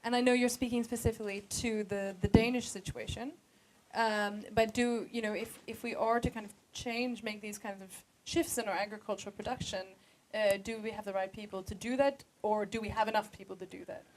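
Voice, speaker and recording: A woman's voice: medium pitch, steady tone, a little fast, a little mellow, a little hiss or hum, poor audio. A woman moderating a panel, posing questions off the cuff in an informal, good-humored way.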